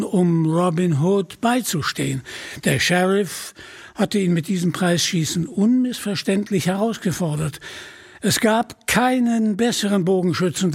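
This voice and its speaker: A man narrates a scripted adventure story in a high, husky voice. He takes his time and tells it expressively, as in a radio play, with some music in the recording.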